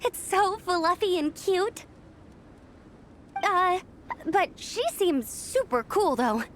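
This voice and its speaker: A woman's voice: extremely high pitch, sing-song, slow pace, slight background noise, full character voice. A woman voicing a perky, friendly young female knight in a video game, eager and chatty. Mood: chatty, helpful.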